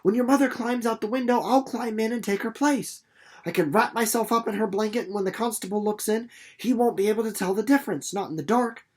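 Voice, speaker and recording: A man's voice: high-pitched, brisk, voice pushed up. A man voicing an earnest, determined young girl from a storybook, speaking breathlessly and insistently. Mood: breathless, eager.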